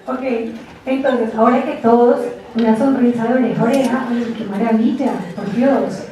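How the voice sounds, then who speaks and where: A woman giving a university lecture, chatty and lively, often asking the class rhetorical questions. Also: fairly high pitch, moderate pace. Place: lecture room. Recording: echoey hall, distant mic.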